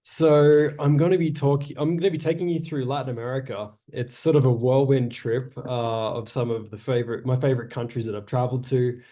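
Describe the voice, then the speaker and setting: An Australian man talks through a presentation in an easygoing, chatty way, often pausing on an uh. His voice is mellow and warm, sitting at an ordinary pitch. It comes through a video call from his home. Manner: relaxed, chatty with frequent uhs. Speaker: guest speaker. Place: home in Chile.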